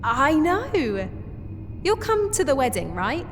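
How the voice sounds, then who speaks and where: Lady playing an upbeat female sergeant, talks slow and happy, bit of noise behind. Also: sits high, sing-song, long reverb tail, pitched above the performer's own voice, full character voice. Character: cheerful female soldier, newly a sergeant.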